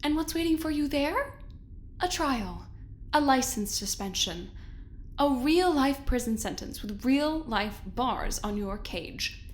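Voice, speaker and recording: An actress as a smug corporate woman in an audio drama, condescending and controlling. Her voice is a little high for a woman and she takes her words slowly, leaning on them. There is faint noise behind her. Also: expressive. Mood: mocking, cold. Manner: condescending, deliberate, drawn-out emphasis.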